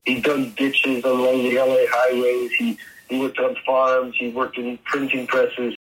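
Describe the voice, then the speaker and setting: A man's voice: medium pitch, completely flat delivery, a little slow, a bit bright, slight background noise, poor audio. A man in his sixties talking casually in an interview, loose and conversational, circling back on his phrases. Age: 60s. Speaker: interviewee remembering his father.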